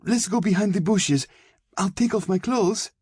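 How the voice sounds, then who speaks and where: A man's voice: deep voice, sing-song, moderate pace, husky, no room sound, clean studio sound, pitched above the performer's own voice, full character voice. A man voicing a young girl thief in an audiobook, urgent and swinging from tough to pleading. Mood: terrified pleading. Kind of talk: audiobook character voice.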